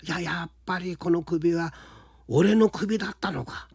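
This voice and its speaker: A man voicing a slow-witted, easygoing country man from a folktale, muttering to himself. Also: fairly high pitch, vocal fry, darkish tone, slightly rough, no room sound. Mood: relieved. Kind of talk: storybook character voice.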